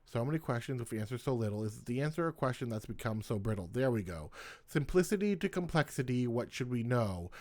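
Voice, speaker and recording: A man's voice: medium pitch, expressive, a little fast, darkish tone. Casual man reading his own poems. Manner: informal, restarts lines when he stumbles. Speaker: poetry channel host.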